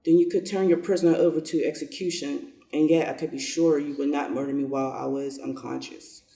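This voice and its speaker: A man playing a self-interested, lordly old general in a reader's theater piece, pompous and deliberate. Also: on the high side, little pitch movement, vocal fry, warm tone. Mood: coolly scheming. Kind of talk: reader's theater stage reading. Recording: echoey room, distant mic.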